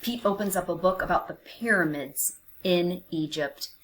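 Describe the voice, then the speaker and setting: A woman narrating a picture book for young children, expressive and deliberate, with dramatic emphasis and hushed phrases. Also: fairly low pitch, a little hiss or hum, low-quality recording. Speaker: children's read-aloud narrator.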